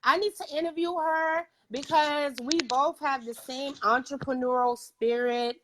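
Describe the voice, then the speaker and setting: A woman's voice: high-pitched, fairly even intonation, unhurried, noisy background. A woman podcast host with a New York accent, hosting a casual interview in a chatty, informal way. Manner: chatty, informal, talks in bursts.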